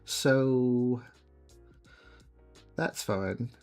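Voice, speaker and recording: Guy on an art stream, mid pitch, kinda murmury and half-distracted, faint music in the back. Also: extremely slow. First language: English. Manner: soft, musing, trails off mid-thought while working. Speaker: streamer drawing live. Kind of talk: casual chat while drawing. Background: faint background music.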